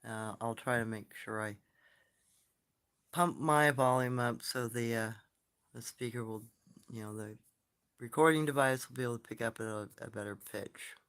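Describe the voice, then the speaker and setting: A soft-spoken man telling stories and answering comments in a casual, rambling live stream, recorded on his phone. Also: medium pitch, moderate pace, long pauses, smooth.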